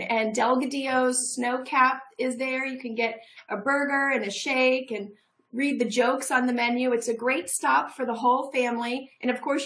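A woman's voice: sits high, fairly bright tone, slight background noise. A middle-aged woman from a tourism office, a guest on a TV show, talking upbeat and promotional over a video call from a room at home.

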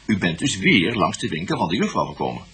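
A man's voice: low voice, very animated, fast, a crowd in the background. A patient police investigator in a radio play. His voice rises and falls freely as he coaxes and prompts, often repeating his words in reassurance. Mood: patient probing. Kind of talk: radio drama dialogue.

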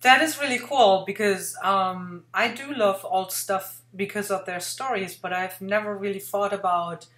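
A woman's voice: medium pitch, a little slow, hardly any pauses, fairly bright tone. Chatty woman host on an echoey webcam. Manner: enthusiastic, chatty, rambling with many fillers. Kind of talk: casual interview chat. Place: home room indoors. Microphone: webcam on video call. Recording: roomy webcam sound.

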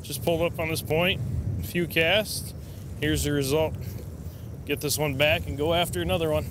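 A man who guides fishing, bantering in a relaxed way and laughing easily from a boat on a lake. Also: fairly high pitch, moderate pace, noisy background. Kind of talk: casual banter while fishing.

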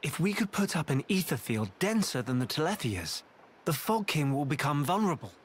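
A man's voice: fairly high pitch, big pitch swings, brisk, a little hiss or hum. An actor playing an earnest, thoughtful young male hero in a video game.

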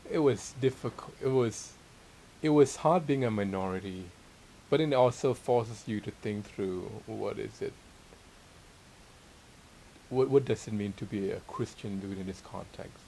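A man's voice: lowish voice, measured pace, vocal fry, long pauses, rough recording. A middle-aged man from Thailand answering interview questions modestly and hesitantly, searching for words in a casual sit-down conversation. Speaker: interviewed guest, theology teacher and author. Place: small library room with armchairs. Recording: steady hiss and room noise.

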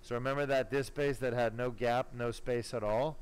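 A man working as a spine surgeon, giving casual, self-assured live commentary while he operates, pausing now and then mid-task. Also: mid-range voice, fairly even intonation. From born in Germany, later lived in California. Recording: clearly noisy operating room sound. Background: room noise and ambience.

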